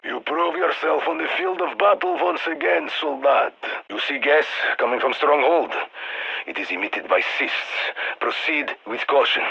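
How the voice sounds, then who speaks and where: Gravelly Russian soldier, on radio. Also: male voice, on the high side, big pitch swings, moderate pace, sharp tone, dull audio, full character voice. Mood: firm, briefing. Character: stern, dry Russian soldier commanding a strike team. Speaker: mission handler. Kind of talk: scripted video game military voice lines.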